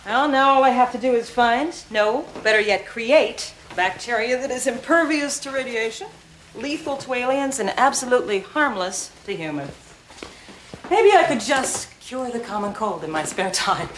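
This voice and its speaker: A woman's voice: on the high side, animated, moderate pace, a little hiss or hum, natural voice, rough recording. A woman playing a wry scientist and firm mother in a television drama, with a dry, sardonic delivery.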